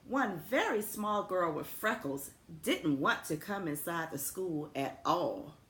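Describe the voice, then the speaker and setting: A woman reads a children's storybook in a fairly low, slow voice with very varied intonation. She speaks simply and expressively, as a principal would to young pupils, in a roomy, echoing space. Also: lively intonation, fairly bright tone. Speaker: elementary school principal reading aloud to students. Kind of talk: children's storybook read-aloud with friendly asides. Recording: roomy echo with faint background noise.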